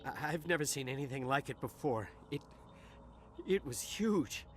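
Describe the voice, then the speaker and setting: A man's voice: mid-range voice, lively intonation, moderate pace, close to an everyday voice. An actor playing a shaken male colony survivor who stammers in fear. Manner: stammering, frightened. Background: game music.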